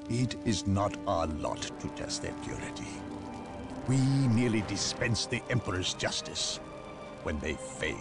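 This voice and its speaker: An actor playing a stern inquisitor who speaks in a measured, commanding way in a game cutscene. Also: male voice, on the low side, expressive. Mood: grave. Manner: solemn, measured, authoritative. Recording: game audio with music behind.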